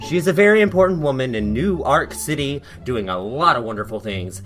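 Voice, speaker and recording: A flamboyant, gossipy television host acted by a man in an audio drama. The delivery is effusive and theatrical, with pitch that swings freely. Light music plays behind the voice. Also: expressive, fairly quick, fairly bright tone, close to an everyday voice. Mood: gushing, showy. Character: flamboyant, gushing gossipy TV commentary show host. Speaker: talk show host. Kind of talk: acted talk show hosting in audio drama.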